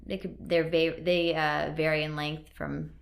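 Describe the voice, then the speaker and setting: A woman's voice: low voice, little pitch movement, measured pace, vocal fry. A woman in her thirties with a mixed Northern and Southern US accent, a podcast guest explaining at length in casual chat, with many fillers. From Wisconsin and North Carolina, US. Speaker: podcast guest, CrossFit coach and gym owner. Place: physical therapy clinic.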